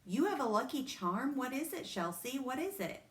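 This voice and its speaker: A spoiled little rich girl with a bragging, sing-song lilt, put on by a woman reading a storybook. Her voice sits high and bright against an echoey room. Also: big pitch swings, normal speed. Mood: wide-eyed.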